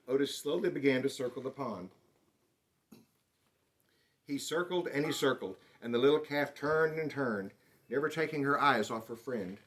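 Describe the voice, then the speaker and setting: Male storyteller, mid-range voice, children's book read-aloud, expressive and dramatic delivery. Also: mid-range voice. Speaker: storybook reader.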